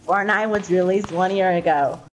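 Casting: woman gamer, lowish pitch, sassy mock-offended valley-girl banter, music playing behind. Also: steady tone, moderate pace, poor audio. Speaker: player in online squad game. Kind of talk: casual game voice chat banter. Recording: game voice chat, music behind.

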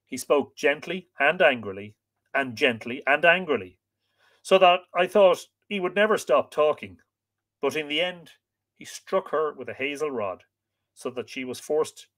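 A man voicing a young wild boy, sorrowful, wistful, varied pitch. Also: a bit bright.

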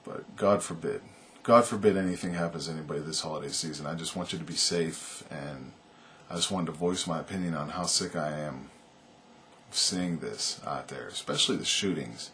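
A man in his thirties vlogging from his couch, rambling earnestly with a loud voice and lots of "man" and "you know". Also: a bass voice, brisk, a little hiss or hum. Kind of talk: casual opinion vlog to camera. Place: small indoor room, seated on couch.

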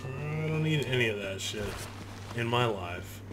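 Male gamer, informal and sweary, slow-paced but very lively in pitch, reacting to play over audible game ambience. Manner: informal, profane, talking to himself. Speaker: gamer recording a playthrough. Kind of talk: casual reactive video game commentary. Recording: clear background noise, game ambience.

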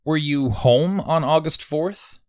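Woman as a very low-voiced, probing prosecuting attorney. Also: slow pace, darkish tone. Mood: probing, formal. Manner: precise, probing questioning. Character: formal, methodical male prosecuting attorney of the 1890s. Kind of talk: dramatic reading of a court transcript.

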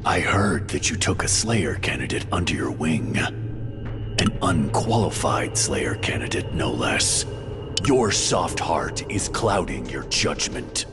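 A cold armored overlord in a game cutscene, extremely deep and slow. He commands sternly in a long reverb over music. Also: animated, heavily acted. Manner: stern, deliberate, menacing. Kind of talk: dramatic game cutscene acting.

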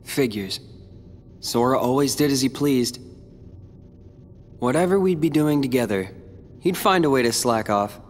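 An actor playing a calm, determined teenage boy hero in a video game, with a dry, brooding way of talking. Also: mid-range voice, measured pace, pauses often, slight background noise, lightly acted. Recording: game audio with reverb and loud music.

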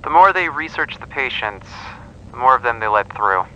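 A man plays an inquisitive archivist on a horror investigation. His voice is very sharp and lively in pitch, earnest and uneasy. The sound is slightly muffled. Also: moderate pace. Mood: earnest, focused. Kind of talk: analog horror audio drama. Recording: muffled, faintly noisy radio-like sound.

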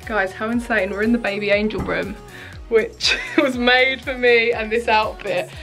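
A woman's voice: fairly high pitch, fairly even intonation, normal speed, fairly bright tone, faint music behind. A woman in her thirties chatting casually and effusively to her viewers as a beauty vlogger, recorded indoors on a handheld camera. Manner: gushing, effusive. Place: indoors, rooms of a house.

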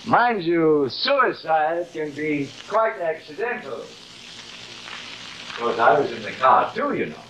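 A man's voice: a little high, big pitch swings, slow, fairly bright tone, heavily acted, rough recording. A middle-aged actor playing a vain, cruel, drunken stage-actor father in a grandiose, slurred, taunting way, in theatrical 1950s television style. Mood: menacing. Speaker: actor in a television horror drama. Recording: hissy old 1950s television soundtrack.

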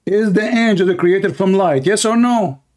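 A man's voice: high-pitched, a little slow, a little mellow. A man hosting an online debate, combative and mocking, repeating his demands. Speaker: debate host.

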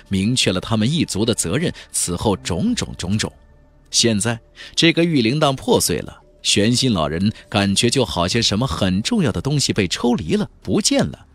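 A man narrating a fantasy audiobook as a dramatic, expressive storyteller. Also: fairly low pitch, normal speed.

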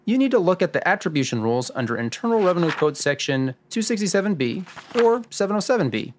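A man's voice: sits high, very animated, fast, a little hiss or hum. A man playing a confident sleuth who explains and guides in an old-time radio drama. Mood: assured. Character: confident male sleuth-like host guiding the case. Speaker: host. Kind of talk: 1940s radio drama acting.

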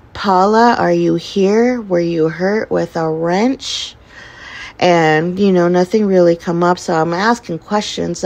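A woman podcast host telling a casual first-person story in a chatty way, acting out remarks people made. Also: fairly low pitch. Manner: chatty, acts out quoted remarks.

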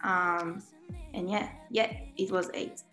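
Young Israeli woman, Hebrew native, slow soft-spoken interview answers, roomy webcam sound. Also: lowish voice, flat delivery, soft background music. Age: young adult. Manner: soft-spoken, with frequent ums and laughs. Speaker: guest singer. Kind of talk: casual interview answers over video call.

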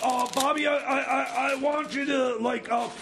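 A man voicing a frantic, stammering boss handing out secret missions in improvised comedy radio theater, full of halting repeats. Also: extremely high pitch, fairly even intonation, creaky, background noise. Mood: bossy, agitated. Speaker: comic character on a radio show.

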